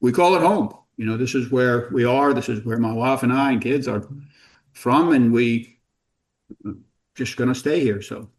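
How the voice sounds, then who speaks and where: A man's voice: mid-range voice, moderate pace, rough recording. A middle-aged man from Jordan, a company founder as podcast guest, telling stories in a rambling, self-deprecating way with many false starts. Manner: rambling, self-deprecating, repeats words when starting sentences. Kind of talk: casual storytelling interview.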